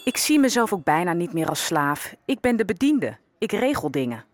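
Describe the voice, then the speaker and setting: A woman playing a quietly resigned young enslaved servant in a historical audio drama, telling her story in a measured, reflective way. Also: lowish voice, sing-song, dry recording. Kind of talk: audio drama first-person narration.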